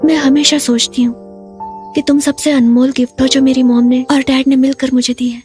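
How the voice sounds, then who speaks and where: A woman's voice: high voice, little pitch movement, moderate pace, darkish tone, faint music behind, lightly acted. A woman voicing an earnest, devoted young daughter in a dubbed drama, with an emotive delivery. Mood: grateful, tender. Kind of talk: dubbed screen drama dialogue.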